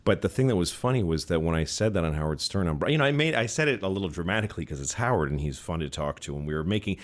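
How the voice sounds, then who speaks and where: A man in his forties chats as a podcast guest in a slightly low voice. He rambles, restarts his sentences and drifts into sports comparisons. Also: animated, very fast. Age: 40s. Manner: rambling, self-interrupting, with sports analogies. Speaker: comedian guest. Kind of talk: casual podcast conversation.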